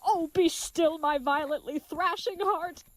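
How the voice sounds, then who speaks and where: A woman's voice: extremely high pitch, unhurried. A woman playing a big, earnest, dim-witted young knight in an audio drama, speaking in florid, old-fashioned, chivalrous phrases. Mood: flustered, smitten.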